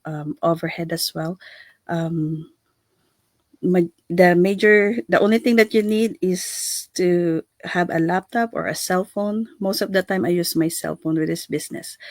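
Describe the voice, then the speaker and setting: A woman's voice: on the low side, darkish tone, no room sound. A woman from the Philippines with a Filipino accent, explaining things informally as a co-host. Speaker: co-host, digital business owner.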